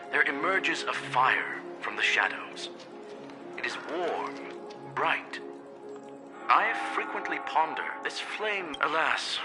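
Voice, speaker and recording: An actor voicing a courteous male robot sage who speaks in a measured, formal way, with game music behind him. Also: medium pitch, close to an everyday voice. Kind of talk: game cutscene voice acting.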